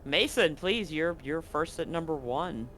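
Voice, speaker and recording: A man's voice: fairly high pitch, measured pace, slight background noise. Easygoing man running a tabletop game. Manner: relaxed, full of fillers. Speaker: game master.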